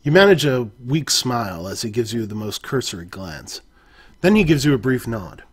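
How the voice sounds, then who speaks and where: Male storyteller with a husky, mid-range voice; reads an interactive adventure aloud and fixes his own slips. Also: mid-range voice, sing-song, moderate pace. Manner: second-person narration, occasional self-corrections.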